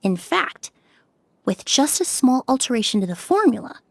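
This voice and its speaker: A poised forensic cleaner, whose slightly high voice stays measured and analytical. She weighs each point calmly, in a game dialogue scene. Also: creaky, no echo, studio quality, heavily acted. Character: calm, poised female forensic cleaner and perfumer. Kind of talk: video game voice acting.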